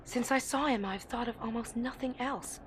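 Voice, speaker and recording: A woman's voice: fairly high pitch, normal speed, faint music behind, natural voice. A woman playing an eager, naive young university student confiding earnestly in an audio drama monologue. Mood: infatuated, wistful. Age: young adult. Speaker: actor. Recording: clear background ambient noise.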